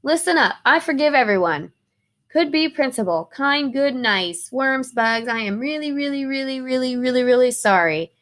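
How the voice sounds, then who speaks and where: A woman voicing an excitable, dramatic young schoolgirl in a storybook, with emphatic delivery. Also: high-pitched, moderate pace. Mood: remorseful, earnest. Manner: emphatic, dramatic, repeats words for effect.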